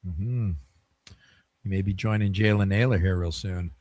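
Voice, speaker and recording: A man's voice: low-pitched, steady tone, normal speed, a little mellow, no room sound. A man hosting a casual podcast, chatty and joking, leaning on fillers like "I mean". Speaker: podcast host.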